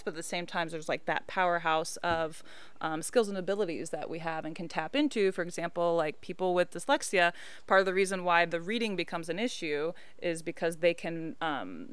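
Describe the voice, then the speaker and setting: Young woman, podcast guest, low-pitched smooth voice, breaks into sing-song phrasing, dry close sound. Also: fairly bright tone, no echo. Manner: often sings her words in a playful lilt. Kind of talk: casual conversation.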